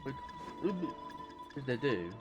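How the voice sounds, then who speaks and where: Animated, informal man commenting on a game. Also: mid-range voice, slow. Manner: rambling, informal, swearing. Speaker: game streamer. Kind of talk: casual gameplay commentary. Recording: game music behind commentary.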